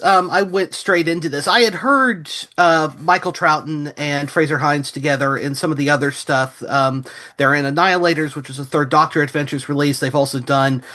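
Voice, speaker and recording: A man's voice: on the high side, pushed and bright. A man speaking as a podcast guest in casual, conversational style. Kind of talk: casual chat.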